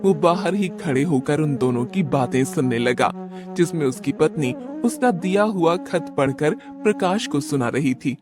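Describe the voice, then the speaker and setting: A male storyteller narrates a cartoon moral tale. His voice is fairly high and moves in a lively, animated way, with music playing clearly behind the scripted narration. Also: a little slow.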